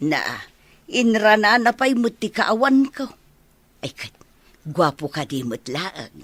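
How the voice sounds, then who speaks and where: Animated, nosy village mother. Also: mid-range voice, slow, dry recording. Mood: nosy, suspicious. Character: middle-aged village mother, nosy, probing, bossy. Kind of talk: radio comedy drama acting.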